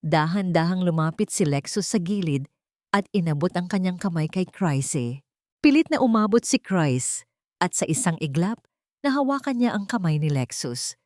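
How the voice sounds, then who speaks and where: A woman's voice: lowish voice, lively intonation, normal speed, a little mellow, no room sound, clean studio sound. A woman narrator reading a scripted story in a dramatic style.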